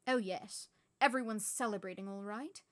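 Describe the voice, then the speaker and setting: A woman's voice: fairly high pitch, lively intonation, measured pace, fairly bright tone. A woman voicing a stern, middle-aged female professor who talks in a clipped, impatient way. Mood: irritable.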